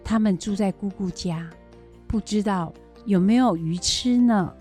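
A woman playing a kindly grandmother in a children's educational radio drama, with a husky, plain-spoken delivery. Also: medium pitch, unhurried, dark tone, soft background music, close to an everyday voice. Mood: caring. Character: kindly grandmother raising her grandchildren, fond of fish heads.

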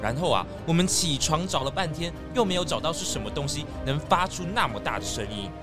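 Man voicing a high-pitched, chatty deer husband. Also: lively intonation, brisk, music in the background, close to an everyday voice. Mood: troubled. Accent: Taiwanese Mandarin. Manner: eager, chatty. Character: friendly, enthusiastic sika deer husband, adult male. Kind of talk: children's audio detective drama.

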